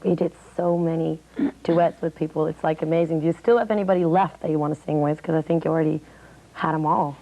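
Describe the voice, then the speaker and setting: A woman's voice: low voice, steady tone, quick pace, dark tone, poor audio. A woman interviewer chatting casually, asking questions in an informal, agreeable, chatty way.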